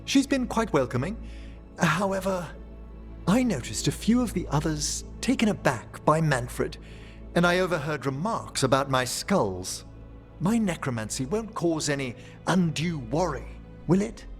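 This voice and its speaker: Courteous scholarly necromancer, lilting delivery. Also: male voice, a little high, big pitch swings, unhurried, faint music behind. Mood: politely anxious. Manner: formal, precise, eloquent speech. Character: courteous, scholarly male necromancer, gentle and earnest. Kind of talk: video game character acting.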